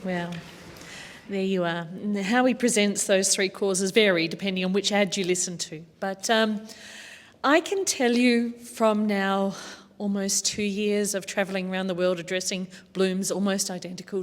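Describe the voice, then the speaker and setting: A woman's voice: medium pitch, fairly even intonation, normal speed. Woman panellist in a reverberant hall. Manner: informed, earnest, with fillers. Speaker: panel speaker. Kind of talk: panel discussion answers. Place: public talk in a hall.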